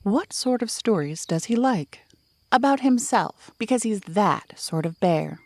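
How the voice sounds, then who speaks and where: A woman's voice: mid-range voice, lively intonation, unhurried, darkish tone, no room sound. A woman voicing an eager young boy in a storybook, childlike and sweetly coaxing with his questions.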